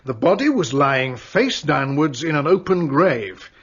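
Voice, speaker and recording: A courteous police inspector. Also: male voice, a little high, animated, a little slow, fairly bright tone, husky, heavily acted. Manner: courteous, official, explanatory. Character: courteous French police inspector, middle-aged man. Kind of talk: radio drama acting. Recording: dull studio audio play.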